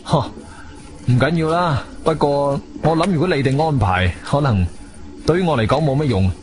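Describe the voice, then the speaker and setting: A man's voice: on the high side, normal speed, natural voice. An actor with a Guangzhou Cantonese accent playing an inquisitive, self-assured investigator who tells his own story in a theatrical radio drama style. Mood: insistent. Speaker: narrator and lead actor. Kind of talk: Cantonese radio drama, first-person narration and dialogue. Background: music.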